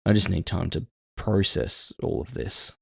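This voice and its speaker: A world-weary cyborg gunman acted by a male narrator in a cyberpunk audio drama, brooding and self-doubting. His voice is low and gravelly, recorded very dry. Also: unhurried, no room sound, heavily acted. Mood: dejected.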